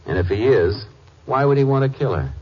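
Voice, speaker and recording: An actor playing a steady American Texas Ranger, questioning in a measured, laconic way, in 1950s radio drama style. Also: male voice, medium pitch, slightly rough. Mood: thoughtful. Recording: muffled vintage radio recording.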